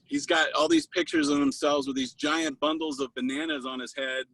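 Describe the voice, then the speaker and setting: Male host, effusive and sentimental, animated delivery with a husky edge, informal video-call chat. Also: on the high side, moderate pace, dry recording. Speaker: host of an online spiritual study group.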